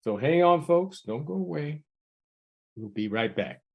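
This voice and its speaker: A male talk show host with a gravelly, husky voice. He speaks with lively swings in pitch and effusive enthusiasm. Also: a little slow, dull audio. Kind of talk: interview hosting.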